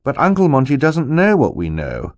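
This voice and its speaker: A man's voice: mid-range voice, wildly swooping pitch, moderate pace, dry recording, voice pushed up. A man voicing an earnest, worried orphan child. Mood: worried, puzzling. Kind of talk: audiobook character voice.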